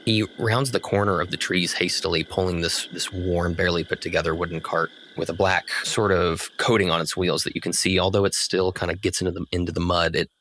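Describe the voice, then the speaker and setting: A man's voice: low-pitched, steady tone, a little fast, few pauses, fairly bright tone. A man working as game master, narrating a tabletop fantasy adventure.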